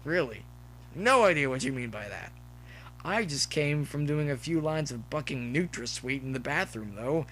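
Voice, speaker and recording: Grinning wasted college pony, played by a man, higher and louder, mocking and carefree, for an audio story. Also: expressive, moderate pace, slight background noise, lightly acted. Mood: mock innocence. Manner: flippant, goading, raised voice. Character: grinning cocky male pony junkie student, flippant.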